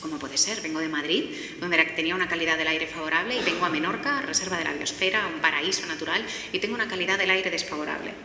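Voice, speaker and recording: In a reverberant hall with faint noise, a woman with a Spanish accent from Spain gives a public presentation. Her voice is bright and forceful, slightly low for a woman. She explains things in an orderly way, pausing to find words. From Galicia, Spain. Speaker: lawyer giving a forum talk. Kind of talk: semi-formal public presentation. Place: indoor forum hall.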